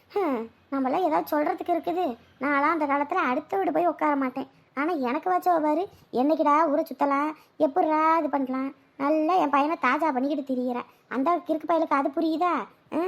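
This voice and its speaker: A high, thin voice carries the complaints of a bitter old mother-in-law, as an actress plays her. She grumbles and dwells on how she has been wronged.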